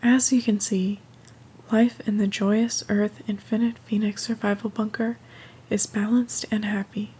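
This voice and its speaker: A woman playing a serene, polished leader of a bunker commune in an audio comedy, welcoming newcomers formally in a breathy half-whisper. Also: medium pitch, little pitch movement, a little slow, mellow, low-quality recording. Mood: soothing, welcoming. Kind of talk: scripted ASMR orientation message.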